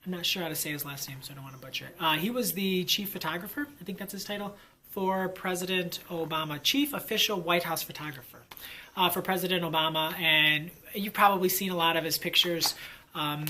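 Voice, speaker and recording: A man's voice: fairly high pitch, sharp tone, slight background noise, low-quality recording. A man who teaches fifth grade, chatting casually and enthusiastically with lots of fillers. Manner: enthusiastic, rambling with many fillers. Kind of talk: casual vlog chat.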